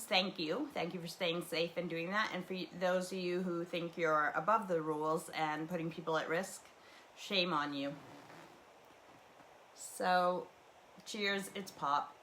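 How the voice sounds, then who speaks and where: A chatty woman with a low voice, rambling casually through her home livestream. She wanders from thought to thought with plenty of fillers and restarts. A faint hum of ambient noise sits behind her webcam mic. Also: fairly bright tone, poor audio. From Canadian prairies. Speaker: livestream host.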